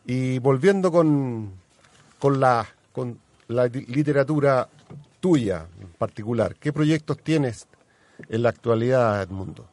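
Chilean radio host, mid-pitched man. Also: takes it slowly, darkish tone. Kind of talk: casual live interview.

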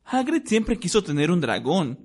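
A man reading a story, voicing a calm, curious young schoolboy. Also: high-pitched, moderate pace, voice pushed up.

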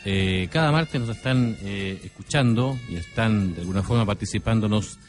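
Male radio co-host, Chilean accent, low voice, courteous and formal, clear music bed. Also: normal speed. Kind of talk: live radio chat. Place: radio studio. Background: background music.

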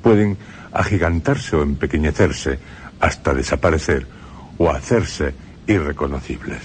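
Male narrator, Spanish accent, radio studio; deep voice, slow and measured, ominous tone. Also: slight background noise. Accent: Spain Spanish.

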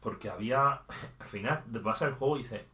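A man's voice: mid-range voice, a little fast, bright, forceful tone, echoey room. A man chatting casually about video games as a podcast co-host, with laughing asides and stammered restarts. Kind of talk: casual gaming chat.

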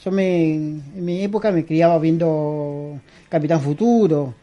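Man, Peruvian accent, middle-aged, mellow dark tone, halting casual talk. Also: a little high, lo-fi sound. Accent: Peruvian Spanish. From Peru. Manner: rambling, halting, many fillers and restarts. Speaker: guest, costume and prop maker. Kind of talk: casual interview chat.

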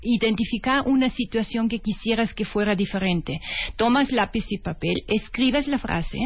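Austrian woman guest, clear step-by-step explainer, higher voice, muffled radio sound. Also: moderate pace. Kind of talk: explaining a self-inquiry method on radio. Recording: dull lo-fi radio audio.

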